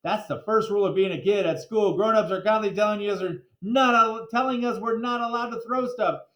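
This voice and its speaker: A man reading a children's book aloud in an animated storytelling style. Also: high voice, fairly quick. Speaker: story reader. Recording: roomy, echoey sound.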